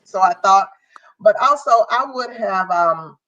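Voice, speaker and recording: A parent speaks earnestly and self-critically in a loud, strong voice. The sound is dry and close, with little room echo. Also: medium pitch, expressive, measured pace, highs cut off. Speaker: guest parent.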